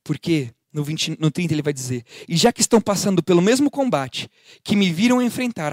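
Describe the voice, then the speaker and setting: A man's voice: high voice, dry recording, clean studio sound. A man with a Brazilian Portuguese accent, preaching a livestreamed Bible teaching, explaining with homely everyday examples and earnest exhortation.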